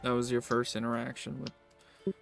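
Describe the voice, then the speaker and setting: A man's voice: mid-range voice, little pitch movement, normal speed, creaky. A man acting as game master, casually narrating a tabletop game and answering players' questions. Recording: music behind the voice. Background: background music.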